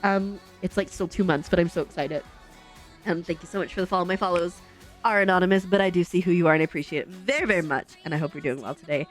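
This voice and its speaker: A woman's voice: fairly low pitch, fast, faint music behind. A young adult woman streaming a game, chatting in an upbeat, giggly way and a little congested. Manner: chatty, upbeat, giggly, talks to herself while building. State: slightly congested. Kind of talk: casual chat while playing a game.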